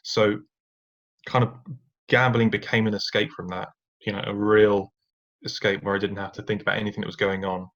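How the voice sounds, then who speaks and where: A man with a rather low voice speaks as a podcast guest, reflective and candid. He hesitates often and restarts his sentences. The recording sounds dull and lo-fi. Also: flat delivery, brisk, fairly bright tone. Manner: reflective, many fillers and restarts, occasional rueful laughs. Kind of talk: candid personal story.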